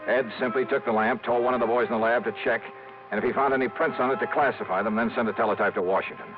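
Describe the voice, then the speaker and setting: An actor playing a hardboiled, curt investigator, clipped and dry, in 1950s radio detective narration and dialogue. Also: male voice, mid-range voice, fairly even intonation, racing, over music, natural voice. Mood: dry, narrating. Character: hardboiled, curt male freelance insurance investigator narrating his case. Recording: dull, noisy old radio recording.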